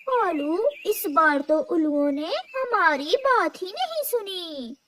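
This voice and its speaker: A woman's voice: very high-pitched, very animated, slow, pushed and bright, a little hiss or hum, full character voice. A woman voicing a quarrelsome but sociable female crow in a children's cartoon. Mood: regretful.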